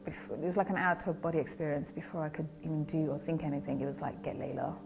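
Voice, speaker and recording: A woman giving a personal testimony in a quiet, measured, grave way. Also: sits low, gravelly, music in the background, rough recording.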